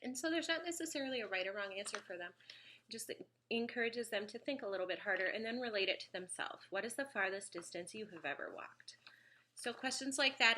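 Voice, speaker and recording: Bright-voiced woman with a slight cold. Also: medium pitch, a little hiss or hum. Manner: chatty, frequent ums, pages through a book. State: slight cold, sniffly, occasional cough. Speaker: homeschool product reviewer. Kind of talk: casual video review.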